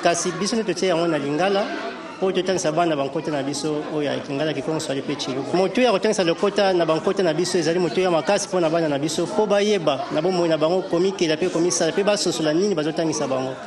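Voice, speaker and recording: A man from a school explaining its teaching in a brisk outdoor interview. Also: on the high side. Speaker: school staff member. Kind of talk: street interview. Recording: outdoor crowd noise.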